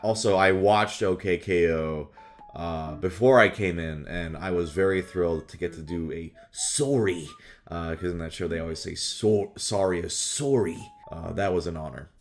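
A young adult man, a voice actor, chatting casually to camera in an animated way at home. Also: sits low, normal speed, faint music behind. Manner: animated, slips into exaggerated character voices. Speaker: voice actor and video host. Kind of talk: casual chat to camera about voice roles. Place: small furnished room at home, indoors.

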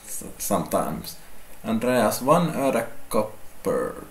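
Slow-talking gravelly man. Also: lowish voice. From Finland. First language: Swedish. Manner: relaxed, slow, reads out comments. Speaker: co-host of a live stream. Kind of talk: casual live stream chat, reading viewer comments. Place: small indoor room, seated on a sofa. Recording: roomy with faint ambient noise.

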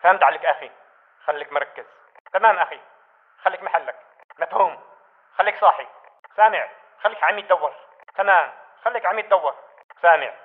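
A man's voice: high-pitched, fast, long pauses. A man with a Levantine Arabic accent playing a watchful, clipped insurgent sentry over a video game radio. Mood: alert, clipped. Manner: clipped, alert. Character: watchful male insurgent sentry reporting to comrades. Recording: narrow, tinny radio-like sound.

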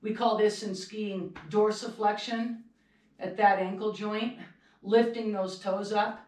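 A middle-aged woman giving an energetic, upbeat home-video ski lesson in her kitchen, demonstrating as she talks. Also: medium pitch, slow pace. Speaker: ski instructor. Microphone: far camera. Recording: echoey kitchen from far off.

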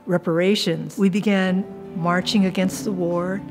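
Older American woman, slightly low voice. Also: fairly even intonation, unhurried, a little mellow. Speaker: community activist interviewee. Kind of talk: documentary interview. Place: indoor room, seated filmed interview. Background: music.

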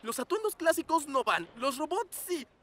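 A woman's voice: sits high, sing-song, normal speed, music in the background. A woman voicing a bossy, snooty, trend-obsessed cartoon character with a dramatic, haughty manner. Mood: demanding. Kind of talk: animated children's cartoon dub.

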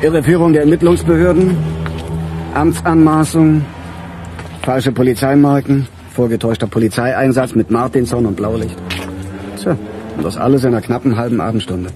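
An actor playing a seasoned, skeptical chief inspector in a German radio crime play, speaking bluntly and drily. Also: male voice, mid-range voice, steady tone, moderate pace, over music, close to an everyday voice. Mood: skeptical, weary.

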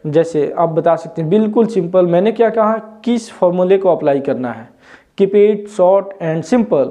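A man's voice: sits high, warm tone, highs cut off. A man, teaching English at a whiteboard, recorded on a lapel mic in an indoor room, often asking viewers questions as he explains. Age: 20s. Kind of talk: whiteboard lesson.

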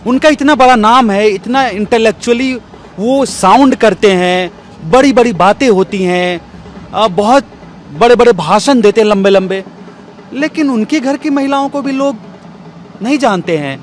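A man's voice: very high-pitched, normal speed. A man in his thirties asking questions as an interviewer, recorded outdoors on a handheld microphone. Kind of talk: on-camera interview. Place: outdoor bench in an open courtyard. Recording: clear outdoor urban background noise.

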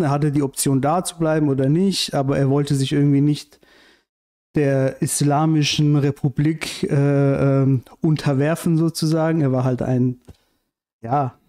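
Podcast guest, thirties man, halting delivery, soft dark tone, boom mic in a living room. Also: fairly even intonation. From Iran. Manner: hesitant, many fillers and restarts. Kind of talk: casual interview chat. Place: bright living room with large windows. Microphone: studio mic on boom arm, headphones.

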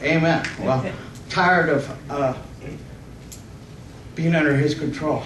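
A middle-aged man preaching and reading scripture in a halting, slightly nervous way, often correcting himself and asking for amens. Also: a little high, a crowd in the background. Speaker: preacher at a church conference. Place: church hall stage, indoors. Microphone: stand microphone, picked up by far camera. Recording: roomy, distant microphone with faint noise.

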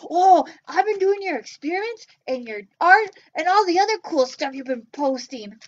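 A woman voicing Joe, a cheerful young boy puppet who talks in an eager, excited way. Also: very high voice, normal speed, muffled recording, pitched above the performer's own voice.

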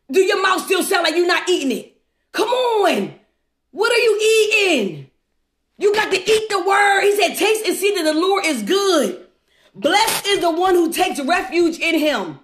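Very high-pitched, fervent woman preacher. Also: animated, moderate pace, fairly bright tone. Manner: fervent, exhorting, repetitive rhetorical questions. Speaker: preacher leading a livestream devotional. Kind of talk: exuberant devotional sermon. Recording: echoey, reverberant room.

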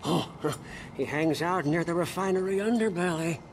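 An actor playing an eccentric, excitable wasteland sidekick in a video game, with a halting delivery. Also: male voice, on the high side, faint music behind, close to an everyday voice. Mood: eager. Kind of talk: video game character acting. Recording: noisy game ambience behind the voice.

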